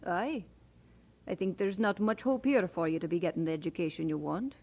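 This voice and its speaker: A practical aunt in a vintage radio drama, chiding yet caring. Her voice is low-set and husky, with a very expressive rise and fall. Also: fairly quick. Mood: concerned, kindly. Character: brisk, scolding but caring older Scottish aunt. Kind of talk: old radio drama acting.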